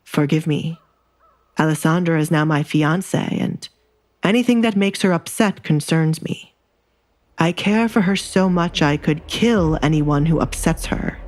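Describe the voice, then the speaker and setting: A woman voicing a sardonic, menacing young duke in an audio drama, speaking formally and with measured, veiled threat. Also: sits low, darkish tone. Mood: quietly threatening.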